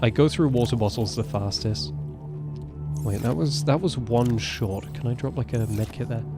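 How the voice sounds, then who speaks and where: Young man, deep voice, rambling gameplay chat, clear music playing behind. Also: moderate pace. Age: 20s. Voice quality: naturally deep voice. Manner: chatty, rambling, self-correcting. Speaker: gaming streamer. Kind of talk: casual live gameplay commentary.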